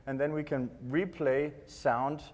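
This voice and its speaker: A man's voice: fairly high pitch, fairly even intonation, slow, darkish tone. A Danish man with a Danish accent giving a live product presentation in a hesitant, explanatory way. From Denmark. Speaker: tech presenter. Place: large indoor conference stage. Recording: echoey hall PA reverb.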